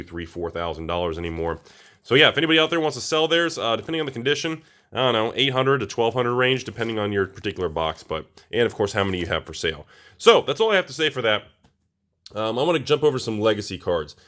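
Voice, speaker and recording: An American man with a slightly raspy voice, a little under the weather, talking casually and ramblingly to viewers as he reviews products. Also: lowish voice, a bit bright. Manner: informal, rambling, addresses viewers directly. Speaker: collectibles channel host.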